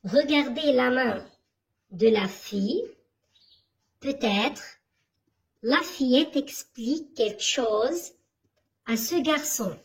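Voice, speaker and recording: A woman teaching a reading lesson to primary school children, asking questions and answering them herself in a slow, didactic way. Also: high voice, low-quality recording.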